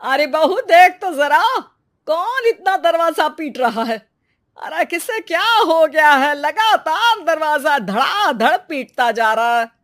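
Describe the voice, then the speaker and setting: Woman playing a high-voiced, fussing elderly mother-in-law. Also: animated, takes it slowly, fairly bright tone, full character voice. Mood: fussing, concerned. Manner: fussing, affectionate. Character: caring, emotional elderly mother-in-law in a family drama.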